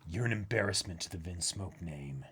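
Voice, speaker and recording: A man voicing a cold, imperious royal villain with a measured, threatening manner in a manga reading. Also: low voice, lively intonation, fairly bright tone, breathy edge, heavily acted. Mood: cold disdain.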